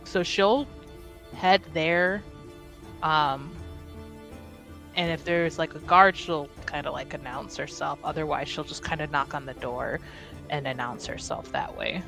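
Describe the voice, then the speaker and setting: Woman, tabletop player; slow, hesitant delivery full of fillers, lowish voice, faint music behind. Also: fairly even intonation, gravelly, a bit bright. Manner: hesitant, thinking aloud with many fillers. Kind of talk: in-character tabletop roleplay narration.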